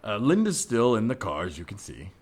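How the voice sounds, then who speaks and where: A man's voice: on the low side, huge pitch swings, brisk. A man playing a jealous, exasperated husband in improvised comedy, terse and insistent. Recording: background ambience noise, remote recording.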